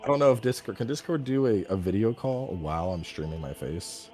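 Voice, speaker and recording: A man's voice: lowish voice, lively intonation, a little fast, mellow, soft background music. A man in his thirties chatting casually as a gaming streamer, blunt and sweary, given to ribbing. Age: 30s. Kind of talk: casual chat, banter.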